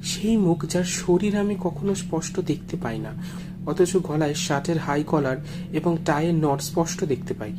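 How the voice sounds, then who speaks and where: A man's voice: fairly high pitch, normal speed. Man narrating suspense over music. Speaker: story narrator. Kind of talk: dramatic first-person audio story narration. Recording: music behind the voice.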